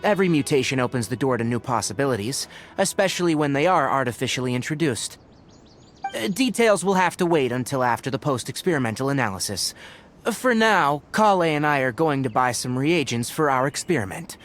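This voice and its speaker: A man playing a calm, analytical young scholar in a video game, precise and academic in manner. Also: mid-range voice, a little fast, faint music behind, close to an everyday voice. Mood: thoughtful. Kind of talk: video game character acting.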